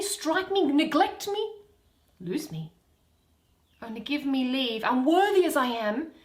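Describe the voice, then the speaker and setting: A woman's voice: high voice, roomy sound, pitched above the performer's own voice. A woman playing a devoted, stubborn young woman in love, imploring and impassioned in a dramatic Shakespeare reading.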